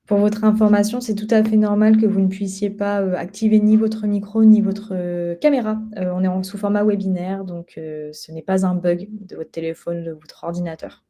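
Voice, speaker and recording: Soft-toned young woman hosting a webinar. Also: medium pitch, flat delivery, normal speed, hardly any pauses. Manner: conversational with frequent hesitations. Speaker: project officer and webinar co-host. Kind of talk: live webinar presentation. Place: office at headquarters.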